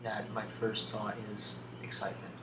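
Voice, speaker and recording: A man speaking as an ASMR video host, answering questions off the cuff in a hesitant, thoughtful way with long pauses. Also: lowish voice, completely flat delivery. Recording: roomy, distant, clearly noisy home recording.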